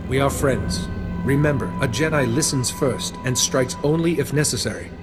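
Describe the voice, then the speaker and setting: An actor playing a calm, resolute Jedi mediator in a video game, measured and formal. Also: male voice, medium pitch, over loud music, close to an everyday voice. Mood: composed. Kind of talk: video game cutscene acting.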